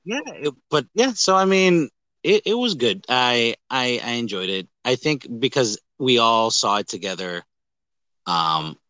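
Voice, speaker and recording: A man hosting a casual movie podcast, talking in an animated, rambling, jokey way with some swearing. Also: medium pitch, measured pace, clear, resonant tone, no echo. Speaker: podcast host. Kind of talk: casual movie review chat.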